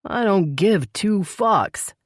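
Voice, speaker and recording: Woman voicing a low, possessive vampire lover. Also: animated, normal speed, breathy edge, dry recording, studio quality, exaggerated voice. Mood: angry, incredulous. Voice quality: low, growling. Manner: forceful, insistent, swearing. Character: possessive, hot-tempered male vampire lover, intense and stubborn. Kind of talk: dramatic romance audiobook dialogue.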